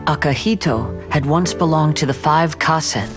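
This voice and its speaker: A man playing a calm, courteous young swordsman in a video game, composed as a storyteller. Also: on the high side, normal speed, lightly acted. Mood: composed, solemn. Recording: loud music behind.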